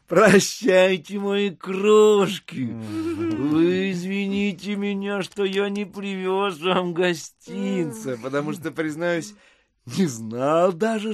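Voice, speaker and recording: An actor playing an ingratiating, smooth-mannered gentleman guest in an audio drama, affectedly polite, coaxing and playful. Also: sits high. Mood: sweetly apologetic.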